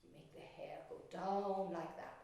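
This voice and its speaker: A woman's voice: fairly low pitch, steady tone, normal speed, mellow, a little hiss or hum. A woman in her sixties, an art teacher and storyteller, explaining things simply as if to young children in a classroom. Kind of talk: children's storytelling and painting lesson. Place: wooden-floored classroom, indoors. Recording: very echoey room, distant mic.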